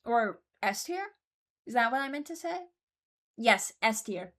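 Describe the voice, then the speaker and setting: A woman's voice: on the high side, expressive, unhurried, a bit bright. Casual woman commentator thinking aloud. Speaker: host ranking game voice lines.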